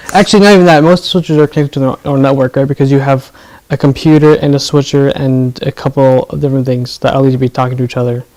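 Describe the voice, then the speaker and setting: A man with a soft, mellow tone who speaks slowly and easily. He chats casually as he checks his sound setup, thinking out loud and answering with a steady "right". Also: mid-range voice, rough recording. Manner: relaxed, thinks aloud, says "right" often. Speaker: streamer setting up his gear.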